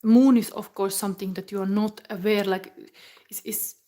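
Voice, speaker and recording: A woman in her forties, giving a live tarot reading in a halting, musing way with soft whispered asides. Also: mid-range voice, steady tone. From Finland. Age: 40s. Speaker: tarot reader.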